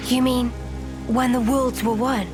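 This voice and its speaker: A woman plays a cheerful, inquisitive young woman in a video game cutscene. Her voice sits high and she talks frankly, with loud game music behind her. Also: steady tone, unhurried, natural voice. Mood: curious. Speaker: game character Sena.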